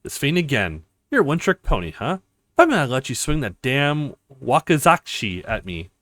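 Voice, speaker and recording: A brooding, determined young male fighter in a visual novel, played by a man reading aloud. He sounds defiant and sarcastic. The pitch is middling and the recording is close and dry. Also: wildly swooping pitch, moderate pace, fairly bright tone, no room sound.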